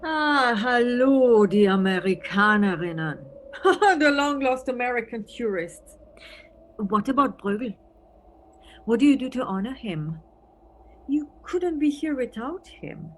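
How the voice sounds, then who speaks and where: A woman's voice: a little high, lively intonation, measured pace, a little hiss or hum, rough recording. A woman playing an eccentric, playful, officious European policewoman in an audio play, theatrical and teasing, with German phrases thrown in.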